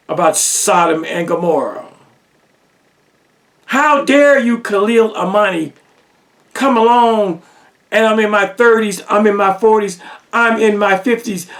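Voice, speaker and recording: A man's voice: sits high, a bit bright, distant mic. A man giving a lecture-style religious teaching monologue, pressing his points with rhetorical questions and repetition.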